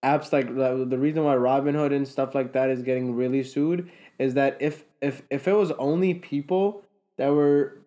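A young man co-hosts a casual podcast, talking things through with plenty of restarts and filler words. His voice is mellow and unforced, at an ordinary pitch for a man, picked up by a camera set back across a table. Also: fairly even intonation, normal speed. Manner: explaining at length with many restarts and fillers. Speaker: podcast co-host. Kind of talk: casual chat. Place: room with a conference table.

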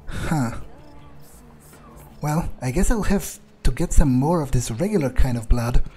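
Mellow, musing male gamer in character. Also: medium pitch, lively intonation, a little slow, slight background noise. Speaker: gamer role-playing in character. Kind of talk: in-character game playthrough, thinking aloud.

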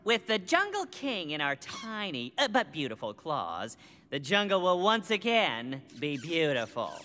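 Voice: medium pitch, wildly swooping pitch, a little slow, pushed and bright, full character voice. A performer voicing a vain, scheming peacock in a cartoon, pompous and sly. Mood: grandiose. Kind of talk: animated cartoon villain acting.

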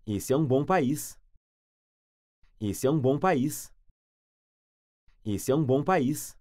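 A man who teaches phrases, saying each one three times, slowly and clearly. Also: mid-range voice, animated, darkish tone, no room sound, studio quality. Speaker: language teacher. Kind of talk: slow phrase repetition for learners.

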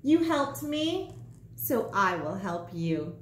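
A woman's voice: medium pitch, very animated, slow pace, echoey room, slight background noise. A woman voicing a chirpy, instructive male magic gnome from a children's storybook. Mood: bargaining. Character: male magic gnome, chirpy, matter-of-fact, a little sly.

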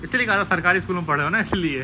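A man's voice: high voice, very fast, noisy background. A man vlogging casually outdoors at a hotel, chatting in a playful, teasing way. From Lucknow, India. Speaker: travel vlogger. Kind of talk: casual vlog chat.

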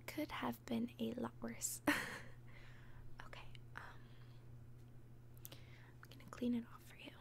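A woman playing an obsessive, falsely gentle young caretaker in an ASMR roleplay, speaking softly and breathily with tender, halting hesitation. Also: high voice, exaggerated voice. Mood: soothing, hesitant. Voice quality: soft, breathy whisper. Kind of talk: whispered ASMR roleplay, personal attention.

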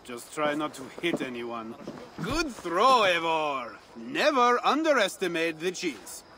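A man's voice: fairly high pitch, sing-song, unhurried, noisy background. An actor playing a wry, flirtatious Frankish festival man in a video game, playful and theatrical. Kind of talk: video game cutscene acting.

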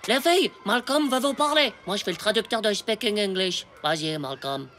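A woman's voice: medium pitch, animated, moderate pace, a bit bright, slight background noise, natural voice. A woman voicing a brash, boastful, scheming ten-year-old boy in a French cartoon, with a cheeky, slangy, mock-confident way of talking. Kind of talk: French animated cartoon dialogue. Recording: music behind the voice. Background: cartoon music.

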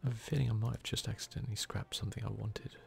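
A man giving whispered, relaxed ASMR commentary over gameplay, musing idly close to the microphone. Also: lowish voice, racing, creaky. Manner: relaxed, idle musing to himself and viewers. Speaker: gaming channel host.

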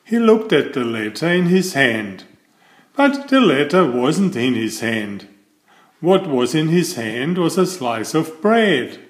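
A man reading a children's picture book aloud as storyteller, with deliberate, emphatic phrasing. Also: a little high, big pitch swings, echoey room.

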